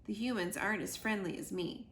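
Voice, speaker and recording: A woman's voice: mid-range voice, fairly quick, fairly bright tone, roomy sound, natural voice. A woman voicing a grumpy old female farm cat with a scratchy voice in a children's storybook. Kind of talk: children's storybook character reading.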